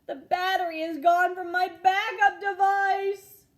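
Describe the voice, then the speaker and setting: A woman's voice: very high-pitched, flat delivery, measured pace, voice pushed up. A woman voicing a frustrated young boy in a storybook, calling out in alarm. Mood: panicked. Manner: shouting, exasperated.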